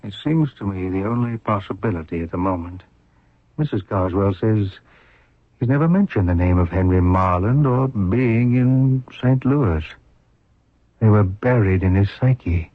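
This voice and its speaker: An actor playing a somber, worried hospital doctor in a 1970s radio drama, speaking gravely and hesitantly. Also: male voice, lowish voice, animated, measured pace, dry recording. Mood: somber, anxious. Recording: muffled, phone-like old radio recording.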